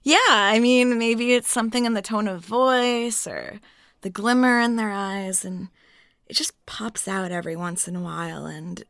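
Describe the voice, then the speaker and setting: A woman playing a flirty, lonely, talkative waitress in an intimate roleplay, chatting softly and casually and sometimes dropping near a whisper. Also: mid-range voice, fairly even intonation, measured pace, no echo, clean studio sound. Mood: wistful, earnest. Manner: soft, rambling, giggly, drops into near-whispers. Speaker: audio roleplay performer.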